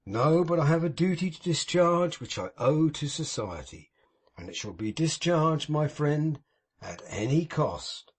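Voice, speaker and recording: A man voicing a pompous, sanctimonious middle-aged gentleman in an audiobook, speaking in a grand, moralizing manner with a husky tone. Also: fairly high pitch, lively intonation, unhurried. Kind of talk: audiobook character reading.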